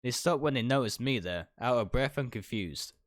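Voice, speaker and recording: A man's voice: mid-range voice, expressive, normal speed, no echo, studio quality. A man livestreaming a dramatic first-person read-aloud of a visual novel, stumbling over words now and then and correcting himself. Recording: music behind the voice.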